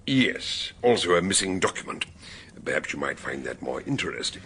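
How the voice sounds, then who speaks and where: An actor playing a shrewd gentleman investigator in a radio drama, deliberate, wry and probing in manner. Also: low-pitched, very animated, slightly rough, full character voice. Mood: wry, courteous. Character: shrewd, mannered gentleman sleuth questioning suspects.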